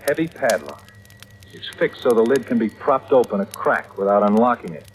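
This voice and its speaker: A man's voice: fairly low pitch, lively intonation, moderate pace, slight background noise, lightly acted, low-quality recording. An actor playing an earnest, determined man in a 1940s radio thriller, speaking in a dramatic manner. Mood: insistent, polite. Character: earnest, determined man haunted by growing dread. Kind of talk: 1940s radio acting with first-person narration. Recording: vintage radio drama, musical underscore.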